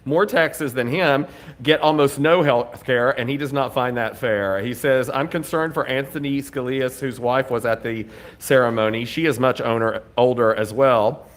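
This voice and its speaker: A man's voice: mid-range voice, normal speed. A man co-hosting a talk show, laughing easily and reading listener comments aloud in casual chat. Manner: easygoing, laughs often, reads comments aloud.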